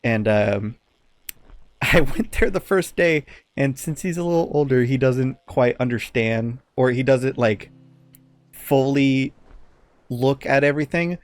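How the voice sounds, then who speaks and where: A man's voice: mid-range voice, no echo. Man, podcast host, casual chat, hesitant delivery, very dry recording.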